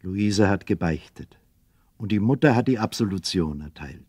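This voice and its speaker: A man playing the earnest narrator of a children's radio play, telling the story in a measured, literary way. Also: on the low side, lively intonation, a little mellow, slightly rough, no room sound, clean studio sound.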